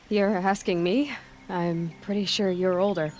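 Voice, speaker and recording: An actress voicing a young, independent hunter, frank and guarded, in a cinematic video game. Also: female voice, on the low side, fairly even intonation, slow pace, a little mellow, a little hiss or hum. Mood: teasing, friendly. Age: young adult.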